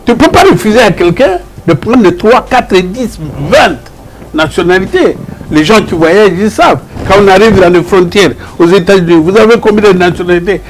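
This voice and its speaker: A man with a Congolese French accent speaking as a professor in an interview, arguing emphatically and deliberately, repeating phrases for emphasis. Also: sits high, animated, rough recording. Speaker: professor, guest and spokesperson. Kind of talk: earnest interview argument.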